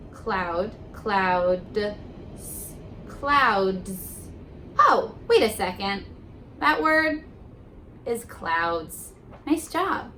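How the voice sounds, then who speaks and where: A young woman teacher at home on her kitchen floor, reading aloud slowly and sounding out words for young children. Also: medium pitch, pushed and bright, a little hiss or hum, poor audio. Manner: slow, encouraging, sounding out words.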